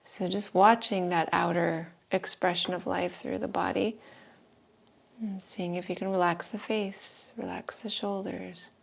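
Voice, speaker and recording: A woman yoga teacher giving guided meditation and breathing instructions in an unhurried, soothing manner with long pauses. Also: lowish voice, level tone, vocal fry. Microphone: live video call stream.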